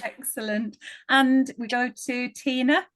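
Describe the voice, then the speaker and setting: A woman's voice: on the high side, steady tone, unhurried, a bit bright, no room sound. A woman from northwest England hosting an online ritual, passing the word around in an upbeat, chatty way. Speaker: ritual host. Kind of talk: online group introductions.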